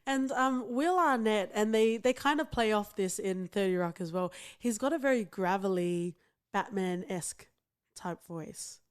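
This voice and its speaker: A woman co-hosting a podcast, chatty and quick to laugh, who swears casually. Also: mid-range voice, smooth. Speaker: podcast co-host. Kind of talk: casual chat.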